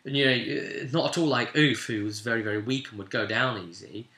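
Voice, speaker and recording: A man acting as game master, recounting a tabletop fantasy game session informally like an animated storyteller speaking straight to his listeners. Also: medium pitch, brisk. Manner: animated storyteller, voicing characters' lines and addressing listeners directly. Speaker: game master and host of a tabletop roleplay recap series. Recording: echoey, roomy sound.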